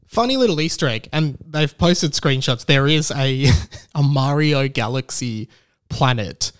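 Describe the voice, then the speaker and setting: A man hosting a casual comedic solo podcast, rambling with stammered restarts and animated, incredulous emphasis. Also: medium pitch, measured pace, smooth. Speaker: podcast host.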